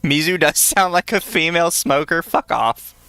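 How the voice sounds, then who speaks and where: Blunt, sarcastic man with a bright voice. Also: on the high side, expressive, normal speed, clean tone. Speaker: podcast co-host. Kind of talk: casual podcast chat.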